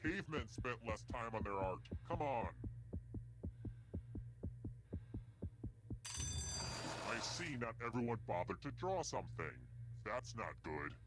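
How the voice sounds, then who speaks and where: A man's voice: medium pitch, very animated, a little slow. An actor playing a sardonic, theatrical male host of a macabre trivia video game. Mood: mocking. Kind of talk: scripted game show hosting.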